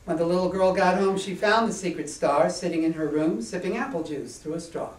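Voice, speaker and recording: Man storytelling in an echoey room. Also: sits high. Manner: deliberate, whimsical, with rhythmic repetition. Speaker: author reading own story. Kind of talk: children's storytelling to a live audience. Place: small indoor stage before an audience. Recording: echoey room, distant microphone.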